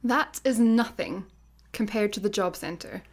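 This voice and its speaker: An actress playing a stubborn, worried, exasperated satellite worker with a Scottish accent in a sci-fi comedy radio play. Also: female voice, medium pitch, measured pace. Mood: worried, glum. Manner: exasperated, blunt.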